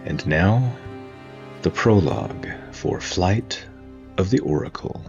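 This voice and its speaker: A man narrating a scripted story as podcast host and game master, in a theatrical, deliberate way. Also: low voice, sing-song, darkish tone, lo-fi sound. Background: clear background music.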